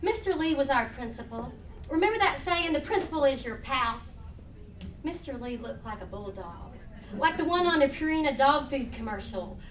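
A woman's voice: sits high, lively intonation, moderate pace, a bit bright. An American woman humorist reading her writing live to an audience, with folksy, playful storytelling and chatty asides. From Huntington, West Virginia, United States. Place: indoor live reading event. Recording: echoey room, distant mic, faint noise.

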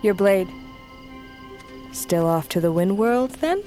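A composed, wry young huntress. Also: female voice, medium pitch, expressive, mellow, soft background music. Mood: earnest, gentle. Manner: measured, wry, formal archaic phrasing. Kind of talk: dramatic fantasy audio drama acting.

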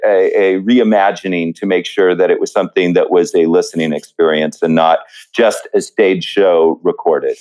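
A man speaking as an interview guest, explaining his writing process conversationally and thinking aloud in a measured way. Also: on the low side, a little mellow, clear, resonant tone, rough recording.

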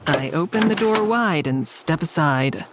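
A woman's voice: low voice, animated, measured pace, background noise. A woman playing a wary, wry character who narrates her own story in an audio drama, in a confiding way.

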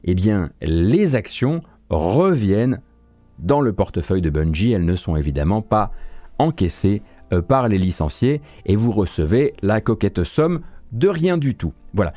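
Male host, casual news chat, hesitant and wry, warm low voice, dry recording. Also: sing-song, measured pace, no echo. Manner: hesitant, many fillers and self-corrections, wry. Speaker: host of a morning video game news stream.

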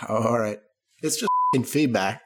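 A man's voice: medium pitch, normal speed, a bit bright. A man from Texas co-hosting a podcast, rambling and laughing through casual banter. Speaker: podcast co-host. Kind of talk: casual chat.

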